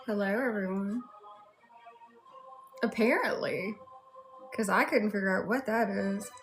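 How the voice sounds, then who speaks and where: A woman's voice: medium pitch, measured pace, soft background music. A woman with a Southern US accent hosting a casual livestream, chatting and addressing viewers one by one. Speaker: livestream host.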